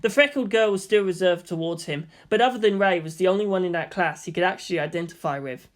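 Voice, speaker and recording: A woman's voice: fairly low pitch, fast. A woman reading scripted story narration as the narrator.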